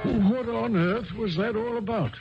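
Puzzled gentleman sidekick in old radio drama, high voice, muffled old recording. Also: a little slow, loud music behind the voice. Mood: baffled. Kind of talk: 1970s radio drama acting. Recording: dull 1970s radio recording.

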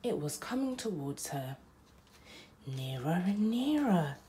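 Slow, expressive young woman reading a storybook. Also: lowish voice, a little hiss or hum. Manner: deliberate, expressive, dramatic phrasing. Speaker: mother reading a picture book aloud. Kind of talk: bedtime story narration for children.

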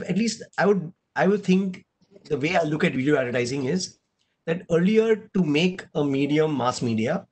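A middle-aged man moderating a panel on a video call, animated and often adding "right?". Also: fairly high pitch, slight background noise, rough recording. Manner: animated, gesturing, tag question "right?". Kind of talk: casual panel discussion. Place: room at home with blinds and shelves. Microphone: video call webcam.

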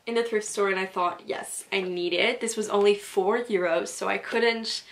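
A woman's voice: mid-range voice, fairly even intonation, moderate pace. Young Dutch-speaking Belgian woman, gushing casual vlogger, recorded at home on a desk camera. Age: young adult. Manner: enthusiastic, gushing with repeated praise. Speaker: book vlogger. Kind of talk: casual chat and reading aloud. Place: small room at home, indoors.

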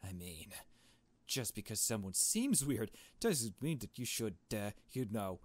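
A man reads as a shy young woman in a visual novel, on the low side in pitch. She is soft-hearted and unsure, feeling her way through sentences. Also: big pitch swings, measured pace, dry recording. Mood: hesitant. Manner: hesitant, questioning.

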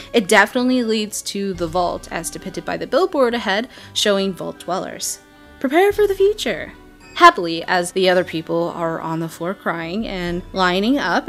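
A woman talks casually over faint music, like a game commentator breaking down footage. Her voice sits at an average female pitch, and her delivery is chatty and expressive, dotted with wry asides.

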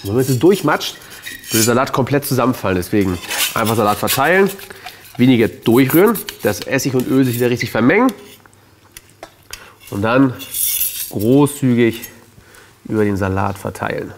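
Lively man hosting a cooking show. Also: mid-range voice, moderate pace, long pauses, a little hiss or hum. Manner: chatty, lively, addresses viewers directly. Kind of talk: casual cooking show chat. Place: studio kitchen set, indoors. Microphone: lapel mic.

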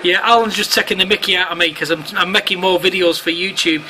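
A man's voice: sits high, fairly even intonation, fast talker. A man chatting casually over two-way radio in matey slang and radio jargon. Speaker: amateur radio operator filming for online videos. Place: indoors at home, at a radio set. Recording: clearly noisy room recording of radio chat.

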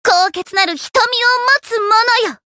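Casting: very high-voiced teen gothic idol girl, anime game, grandiose yet shy, unhurried delivery. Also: pushed and bright, dry recording, full character voice. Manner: grandiose, dramatic archaic declarations, slipping into shy sweetness. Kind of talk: anime game character voice lines.

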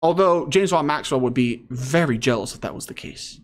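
A man's voice: medium pitch, lively intonation, moderate pace. A man hosting online commentary, reading news aloud and reacting casually.

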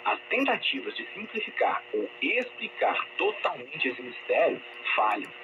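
A man's voice: fairly high pitch, wildly swooping pitch, normal speed, lo-fi sound. A man delivering scripted religious teaching as a broadcaster over shortwave radio. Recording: noisy, band-limited shortwave radio reception.

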